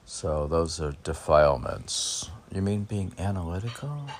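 A man informally reading his poems and commenting on them in a halting, musing way, as if talking to himself. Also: deep voice, expressive, a little hiss or hum. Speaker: poet reading and commenting on own poems for a podcast. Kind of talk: informal poetry reading with rambling self-reflective commentary.

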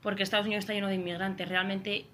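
A woman's voice: medium pitch, flat delivery, very fast, a bit bright, slight background noise. A young woman from Spain with a Spanish accent, chatting as a podcast guest, full of fillers and restarts. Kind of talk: casual chat.

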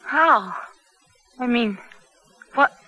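Radio thriller captive: fairly high, slow, frightened yet defiant, halting. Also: female voice, lively intonation, sharp tone, exaggerated voice. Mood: shocked, defiant. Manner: halting, emotional. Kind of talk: 1970s radio drama acting.

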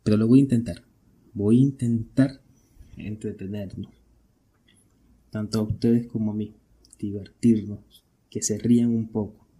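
Man, Venezuelan accent, lowish pitch, raspy husky voice, casual podcast monologue, question-and-answer phrasing. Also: fairly even intonation, unhurried, long pauses, warm tone. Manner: conversational, asks and answers own questions. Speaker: podcast host.

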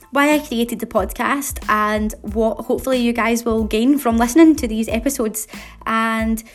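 A woman in her twenties with a Glasgow accent, hosting her own podcast in a bubbly, upbeat, chatty way. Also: fairly high pitch. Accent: Glaswegian. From Scotland. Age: 20s. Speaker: podcast host. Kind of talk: solo podcast introduction.

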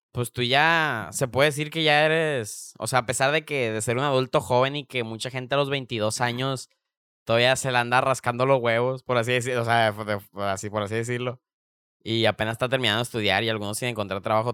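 Young mexican spanish podcast host guy, smooth clear voice, playful and slangy, dry close mic. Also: medium pitch, steady tone, pushed and bright, no room sound. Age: young adult. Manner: playful, slangy, quick follow-up questions. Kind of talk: casual podcast chat.

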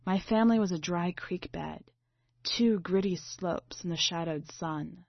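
A woman reading a literary short story aloud in a measured, dramatic way. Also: on the low side, vocal fry. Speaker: story reader. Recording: slightly dull, boxy roomy recording.

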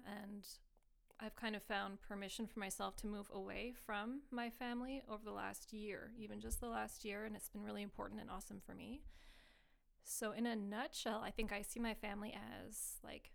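Creaky-voiced woman in her thirties. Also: medium pitch. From Canada (Montreal area). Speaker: podcast guest. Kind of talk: casual conversation.

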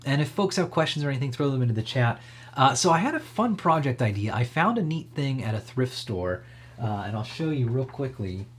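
Slightly husky man, casual tech host. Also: mid-range voice, expressive, a little fast. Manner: conversational, rambling, frequent hedging asides. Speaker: livestream host demonstrating electronics projects. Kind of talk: casual live tech demo, thinking aloud.

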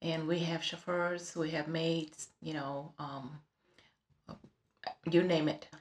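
Low-voiced woman with a Vietnamese accent. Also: steady tone. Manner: halting, many fillers and restarts. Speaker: interviewee, realtor. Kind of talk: personal life story in conversation. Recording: office room with background noises.

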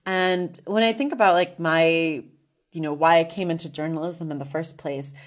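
A woman's voice: low-pitched, steady tone, moderate pace. A woman guest in a casual interview, talking earnestly with many restarts and fillers. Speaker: guest, magazine editor.

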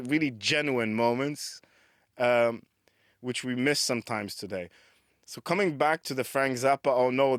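A man's voice: mid-range voice, no echo, clean studio sound. A man in his forties from Dubai, a musician guest telling his story in a loose, rambling way. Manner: loose and rambling, laughs at himself. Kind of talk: casual rambling chat.

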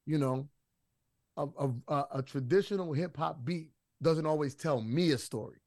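Man, husky voice, loose rambling delivery, dry close sound. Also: fairly high pitch, normal speed, darkish tone, no echo. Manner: loose, profane, many restarts and fillers. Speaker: rapper guest. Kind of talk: casual interview talk.

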